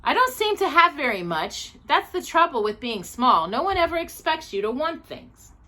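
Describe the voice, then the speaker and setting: A woman plays an earnest little boy bear from a storybook. The voice is high and bright, with lively swings of pitch and very polite, matter-of-fact phrasing. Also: normal speed, heavily acted. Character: polite, earnest small male bear from Peru.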